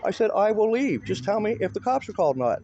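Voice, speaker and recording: Male, high but mellow voice, insistent everyday arguing, muffled handheld camera sound. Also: sing-song, fast, a little hiss or hum. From Canada. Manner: insistent, repetitive, colloquial. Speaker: citizen auditor filming police. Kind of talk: casual argumentative conversation.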